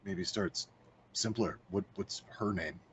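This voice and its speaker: A man playing a patient, probing vampire from Hungary in a tabletop game, asking questions haltingly. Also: low-pitched, a bit bright, breathy edge, exaggerated voice. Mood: patient, prompting. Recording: slightly dull mic, faint background noise.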